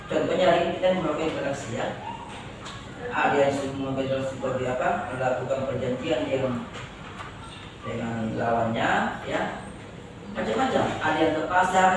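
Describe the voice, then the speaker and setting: A man's voice: on the high side, far from the mic. A male law lecturer with a mixed Makassar and Javanese Indonesian accent, teasing his students and tagging his sentences with "ya". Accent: Indonesian, mix of Makassar and Javanese. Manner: teasing students, frequent "ya" tags. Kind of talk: joking classroom lecture. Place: university lecture room. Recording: echoey classroom from afar, noisy.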